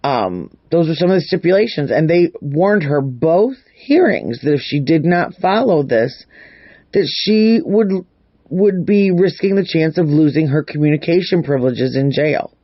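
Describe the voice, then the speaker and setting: A man's voice: a little high, expressive, moderate pace, dry recording. A man hosting a true crime vlog, giving casual, opinionated news commentary and repeating words for emphasis. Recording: dull, muffled lo-fi audio.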